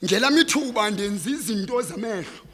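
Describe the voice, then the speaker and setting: A man's voice: high voice, lively intonation, fast talker. A man with a Xhosa accent performing declamatory, rhythmic spoken-word poetry on stage, impassioned and dramatic. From South Africa. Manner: impassioned, dramatic, swelling from hushed to loud. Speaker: slam poet performing on stage. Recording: live stage performance, reverberant hall.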